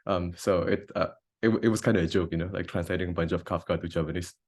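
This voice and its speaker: A man's voice: deep voice, fairly even intonation, fast talker. A young man from Indonesia, a composer and grad student, introducing himself casually on a video call with many fillers and restarts. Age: young adult.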